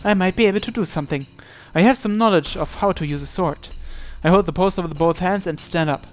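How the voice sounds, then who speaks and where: A man reading a visual novel aloud in an expressive, dramatic first-person narration with occasional stumbles. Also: sits high, fast talker, faint music behind.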